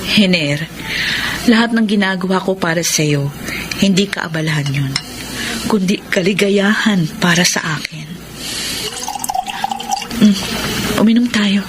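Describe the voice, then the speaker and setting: An actress with a Filipino accent playing a sorrowful, lovelorn woman in a radio soap drama, speaking softly and tenderly. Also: medium pitch, a little slow, long pauses, noisy background, natural voice. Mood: tender, wistful. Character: lovelorn, sorrowful woman pleading with her former lover. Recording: loud music bed under radio drama. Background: sentimental music.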